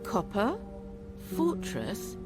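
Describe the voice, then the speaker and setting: Curious adventurer, lively intonation. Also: female voice, medium pitch, extremely slow, music in the background, full character voice. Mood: curious, pondering. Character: curious, thoughtful female fantasy adventurer. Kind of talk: fantasy video game acting.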